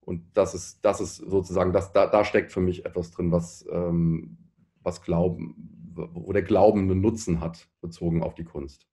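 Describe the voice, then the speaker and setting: A man's voice: sits low, fairly even intonation, normal speed, dark tone. A man in his thirties speaking reflectively as a panel guest, searching for words and often rephrasing mid-sentence. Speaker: panel guest, pop musician.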